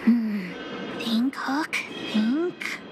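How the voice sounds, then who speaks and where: A woman's voice: fairly high pitch, animated, very slow, music in the background. A woman playing a bold, boastful little girl detective in a video game, grandly speaking of herself in the third person. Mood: pondering. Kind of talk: video game character acting.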